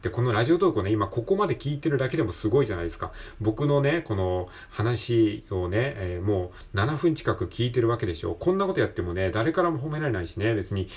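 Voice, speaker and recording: Male podcast host, rough-edged voice, lively swooping intonation, casual motivational delivery that keeps seeking agreement. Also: on the low side, fast talker, hardly any pauses. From Japan. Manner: encouraging, conversational, repeatedly seeking agreement. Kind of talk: casual solo motivational talk.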